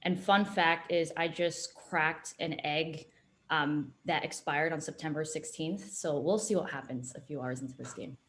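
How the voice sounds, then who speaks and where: Young woman, chatty, slightly low voice, video call. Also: measured pace, a bit bright. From Boston area, US. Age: 20s. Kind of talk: casual self-introduction.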